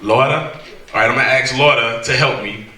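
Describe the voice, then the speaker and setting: A man from Ohio tells his story to a room of students, quick and loose, laughing often. His voice is very bright and sharp. It rings in an echoey hall, picked up from some distance. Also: mid-range voice. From Cleveland, Ohio, USA. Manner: fast, casual, laughing often. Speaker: guest speaker. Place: university event hall.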